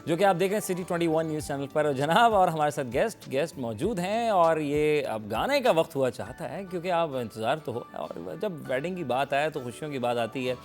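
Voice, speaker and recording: A man's voice: fairly high pitch, animated, a little fast, few pauses, faint music behind. A man with a Pakistani accent hosting a live festive television talk show, effusive and often repeating his phrases.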